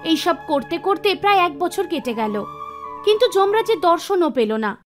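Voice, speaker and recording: A woman narrating an animated folk tale in a scripted storytelling style. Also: extremely high pitch, normal speed. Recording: music under the voice. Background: background music.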